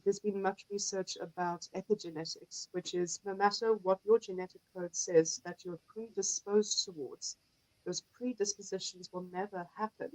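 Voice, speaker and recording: A South African woman speaks as a guest expert in an interview, choosing her words in a measured, articulate way. Her voice is slightly low and stays very even in pitch. The recording is close and dry. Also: dry recording, rough recording. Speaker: guest expert, naturopathic herbalist. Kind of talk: explanatory conversational interview talk.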